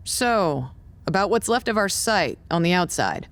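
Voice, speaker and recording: An actress playing a brusque, weary, stressed doctor in an audio drama, dry and curt in delivery. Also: female voice, on the low side, expressive, measured pace. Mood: dry, businesslike. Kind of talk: naturalistic audio drama acting.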